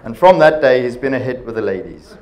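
A man's voice: medium pitch, lively intonation, highs cut off. A man in his thirties with a South African accent, giving a jokey best man speech from notes into a handheld mic in a large panelled hall. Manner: jokey, reading from notes, pausing for laughs. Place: large wood-panelled banquet hall, indoors.

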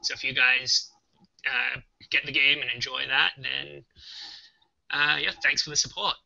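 A man's voice: medium pitch, steady tone, unhurried, gravelly, pushed and bright, no echo, highs cut off. A young man, a game developer, co-hosting a casual live stream, talking hesitantly with many ums, on a webcam mic.

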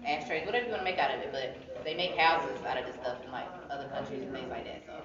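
An American woman with a deep voice talks informally, like a guest in a relaxed interview. She sits well back from the phone mic in a reverberant room, so the sound is slightly dull, with people murmuring behind her. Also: fast. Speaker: interview guest, poet and author. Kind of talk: casual chatty interview answers. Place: indoor arts center room, seated. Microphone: phone camera a few metres away. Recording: echoey room, distant muffled phone-camera sound, chatter.

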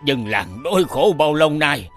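An old cartoon village elder, weary and cautious, in a fairly high voice with lively swings of pitch. He is plaintive and. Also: moderate pace, soft background music. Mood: weary, doubtful. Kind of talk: animated fairy-tale voice acting.

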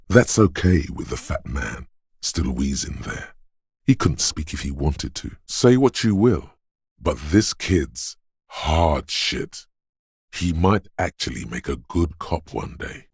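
A detective's bodily inner voice for a video game, grave and commanding. It is a bottomless bass, thick with gravel and very husky. Every line lands with solemn, portentous deliberation, like dramatic narration. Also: male voice, dry recording, clean studio sound, exaggerated voice. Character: Endurance, grave inner voice of a battered detective's body.

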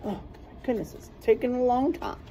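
Middle-aged woman, animated pitch swings, mellow tone, sing-song picture-book read-aloud, teacherly. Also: gravelly, a little hiss or hum. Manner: sing-song, deliberate, stressing rhyming words and letters. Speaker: teacher reading aloud to young children. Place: small classroom, indoors.

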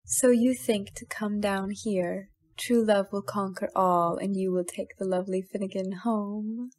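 A woman's voice: mid-range voice, steady tone, a little slow, a little mellow, dry recording, natural voice. A woman playing a sly, condescending fairy creature in an audio drama, taunting with endearments. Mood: silky, taunting.

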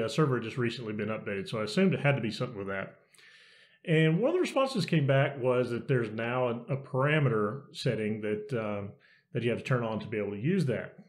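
A man in his forties giving a casual how-to talk on camera from his home office, relaxed and conversational, often restarting his sentences. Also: mid-range voice. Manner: relaxed, conversational with frequent restarts and repeated words. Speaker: software consultant. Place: home office with bookshelves, indoors. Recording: roomy echoey small room.

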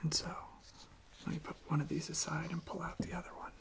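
A young man speaking in a hushed, breathy, mostly whispered ASMR style, unhurried and halting, close to the microphone. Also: fairly high pitch, little pitch movement. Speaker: ASMR video creator. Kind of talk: soft-spoken ASMR.

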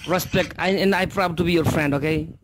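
A young man with a Nepali accent, talking fast on a live video call into a headset mic. He jokes around in broken English, and his voice sits in a middle range. A faint background noise hums beneath him. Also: on the high side, fairly even intonation. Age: 20s. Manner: joking, rapid, broken English. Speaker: live-stream host. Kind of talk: casual joking video call chat. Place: room at home. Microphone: headset with boom mic.

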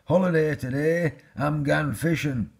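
A man's voice: a little high, normal speed, a little mellow, pitched above the performer's own voice, lightly acted. A man voicing an eager, exclamatory young country boy in a fairy tale. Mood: excited.

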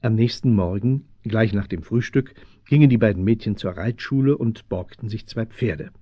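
A man's voice: lowish voice, moderate pace, no room sound, natural voice. A man playing the storyteller of a children's radio mystery, giving scripted narration. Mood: narrating. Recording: dull old audio drama recording.

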